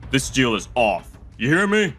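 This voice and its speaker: A male voice actor as an arrogant rich client in a manga dub, brash and petulant. His voice is bright and forceful, swinging widely in pitch as he dismisses people with indignation. Music plays underneath. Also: sing-song, moderate pace. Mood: irritated, dismissive. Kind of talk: dramatic manga dub voice acting.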